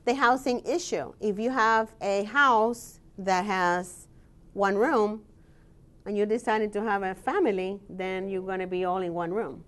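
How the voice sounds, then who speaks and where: A woman scholar and researcher giving a presentation, explaining in a measured way with frequent "um" pauses. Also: mid-range voice, a bit bright. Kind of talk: presentation talk with slides.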